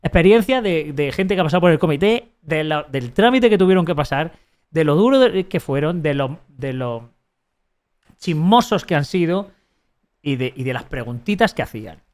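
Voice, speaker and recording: A man from Spain with a slightly high-pitched voice, reading aloud on a live stream. He keeps stopping to mock the text with sarcastic comments, often stumbling and restarting his sentences. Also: lively intonation, a little mellow. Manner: sarcastic, mocking asides; halting, frequent restarts while reading.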